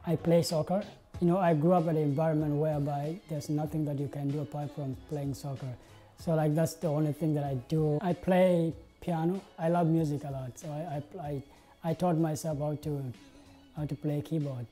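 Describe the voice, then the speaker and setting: Dark-voiced young man. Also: a little high, steady tone, moderate pace, faint music behind. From South Sudan. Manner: earnest, many "uh" and "like" fillers. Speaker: interviewee, game designer. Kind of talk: interview monologue to camera. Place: indoor studio with plain backdrop.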